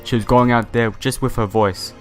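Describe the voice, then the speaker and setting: A man's voice: medium pitch, steady tone, moderate pace. A man doing casual, informal playthrough commentary, reading story text aloud and talking to his viewers. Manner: informal, addresses viewers directly. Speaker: let's play host. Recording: music playing behind the voice. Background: game music.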